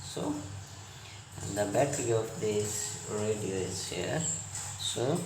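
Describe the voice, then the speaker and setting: A man giving an unscripted step-by-step repair tutorial in short, halting, repetitive instructions, recorded in an echoey room. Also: on the low side. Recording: echoey room, loud noise and clicks.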